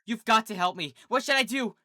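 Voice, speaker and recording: A woman's voice: on the high side, a little fast, pushed and bright, no room sound, clean studio sound, voice pushed up. A woman voicing a fretful young unicorn filly with a pleading, worried way of talking. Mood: desperate. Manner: pleading, breathless worry.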